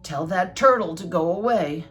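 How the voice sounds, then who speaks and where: An older woman voicing a grumpy, self-conscious male toad in a storybook, fussy and deliberate. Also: on the low side, expressive, a bit bright, soft background music.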